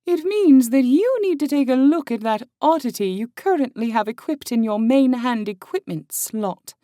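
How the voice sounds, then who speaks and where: A self-assured fantasy woman with a high, mellow voice. She swoops expressively through her lines in a deliberate, dryly commanding way, as if sizing up a newcomer in a game world. The recording is dry and close. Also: expressive, no room sound. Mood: dry, incredulous. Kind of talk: audiobook character acting.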